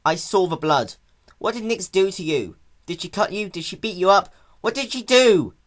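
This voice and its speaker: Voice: medium pitch, lively intonation, normal speed, pitched above the performer's own voice, natural voice. A performer voicing a young boy baby dragon in a cartoon-based story reading, anxious, protective and pleading, with a stammer. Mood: upset, scared.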